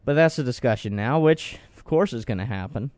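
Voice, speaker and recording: A man's voice: mid-range voice, animated, a little fast, a little mellow, no echo. A man hosting a morning call-in radio show, talking in an earnest, opinionated way with frequent restarts. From Florida. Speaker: radio talk show host. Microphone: studio microphone.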